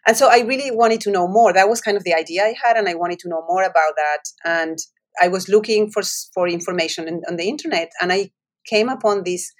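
A woman's voice: medium pitch, fast. Argentine woman with a Spanish accent. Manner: reflective storytelling with frequent restarts. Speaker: author. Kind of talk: interview answers.

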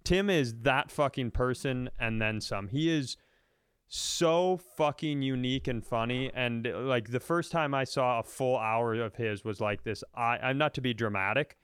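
A man's voice: mid-range voice, unhurried, a bit bright, smooth, no room sound. An American man in his thirties co-hosting a comedy podcast, telling stories emphatically and with casual profanity.

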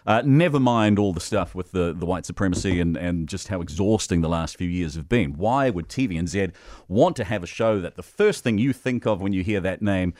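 A man's voice: low voice, animated, brisk, darkish tone, dry recording, clean studio sound. A male host, giving opinionated current-affairs talk in a wry, outspoken way. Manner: outspoken, wry, hesitant fillers. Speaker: radio show host. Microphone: studio microphone.

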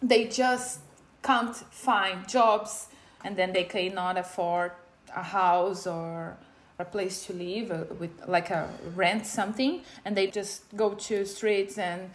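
A woman's voice: medium pitch, steady tone, takes it slowly. A woman in her thirties from Brazil, with a Brazilian accent, telling her personal story in a casual way as an interviewee. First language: Portuguese. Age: 30s. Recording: music playing behind the voice. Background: background music.

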